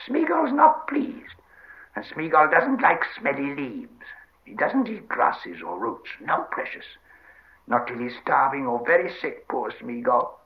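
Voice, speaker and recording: A man's voice: medium pitch, very animated, a little slow, highs cut off, voice pushed up. A man voicing a wretched, greedy, whining creature that hisses, squeals and wheedles in repeated phrases in a storybook reading. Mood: sulky. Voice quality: hissing, squealing. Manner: repetitive wheedling, speaks of himself in third person.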